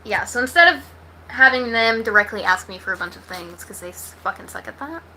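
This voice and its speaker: An American woman talks casually to her livestream viewers. Her voice sits fairly high and is extremely bright and sharp. She is blunt and slangy, and she swears freely as she chats. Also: animated, brisk, slight background noise, low-quality recording. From Texas, United States. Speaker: livestream host.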